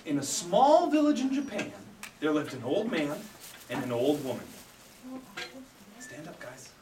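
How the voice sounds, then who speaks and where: An animated male storyteller leads a live children's show, his delivery swinging widely in pitch. He sounds a little away from the mic in an echoey room, with faint noise around him. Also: measured pace, distant mic. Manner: animated, prompts children to repeat lines. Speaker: puppet theater storyteller and director.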